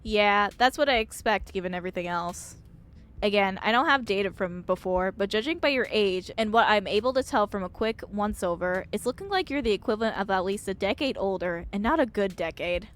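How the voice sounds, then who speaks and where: A woman's voice: medium pitch, lively intonation, a little fast, a bit bright, close to an everyday voice. A woman voicing a stoic older female doctor from a visual novel, skeptical, dry and matter-of-fact.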